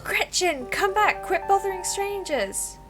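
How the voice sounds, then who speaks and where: A woman's voice: very high-pitched, expressive, a little slow, voice pushed up. A woman playing a friendly, chatty small-town woman with a dog, in a story game. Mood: exasperated. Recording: background music behind voice.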